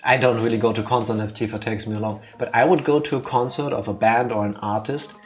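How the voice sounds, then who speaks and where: A man, talking casually as co-host of a game stream. He rambles and restarts his sentences, teasing his partner as he goes. Soft music plays under his voice. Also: medium pitch, fairly even intonation, fast talker. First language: Dutch. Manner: rambling, self-correcting, teasing. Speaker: let's play co-host. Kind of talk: casual chat over gameplay. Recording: faint music behind.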